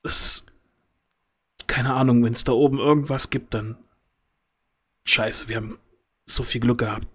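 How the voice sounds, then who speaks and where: A man with a mid-pitched voice takes part in a tabletop roleplay. He speaks haltingly and with agitation, circling back over his own words as he improvises. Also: steady tone, fairly bright tone, breathy edge. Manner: agitated, halting, repeats himself. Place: indoor home, video call. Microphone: headset.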